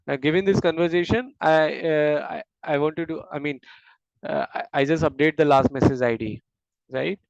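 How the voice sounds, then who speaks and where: A man gives a technical explanation in a smooth, slightly high voice. He moves through it haltingly, repeating words and often checking for agreement. The sound is dry and a little muffled, like a lo-fi recording. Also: no echo. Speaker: instructor explaining system design. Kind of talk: technical lecture in a discussion, explaining step by step. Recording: dull, lo-fi close audio.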